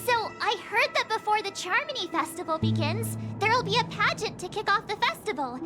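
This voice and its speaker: An actress playing a cheeky, eager young girl in a video game, perky and informal. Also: extremely high pitch. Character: chirpy, cheeky childlike girl, an eager pop-idol fan. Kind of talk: animated video game dialogue.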